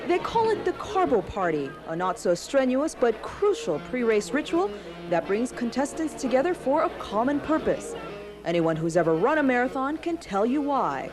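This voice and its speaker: A woman's voice: fairly high pitch, very animated, normal speed. A woman reading a scripted feature narration as a TV news reporter. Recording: loud music and crowd behind voice. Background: music and crowd chatter.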